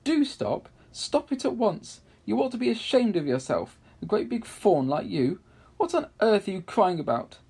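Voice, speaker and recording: A man's voice: high voice, sing-song, normal speed, creaky, darkish tone, husky, voice pushed up, heavily acted. Storybook little girl, played by a man: kind, earnest, emphatic, voice lifted well up. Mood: scolding, exasperated.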